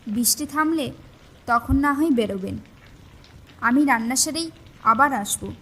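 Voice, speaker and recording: A woman's voice: high voice, a little slow, sharp tone, slightly rough, heavily acted, low-quality recording. A woman playing a grave, mysterious, bookish lady in an audio horror story, speaking in a serious, formal manner. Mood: composed, polite.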